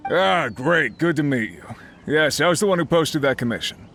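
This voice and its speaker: An actor playing a friendly, plain-spoken but uneasy miner in a video game, explaining things casually. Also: male voice, on the high side, animated, normal speed, fairly bright tone. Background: music.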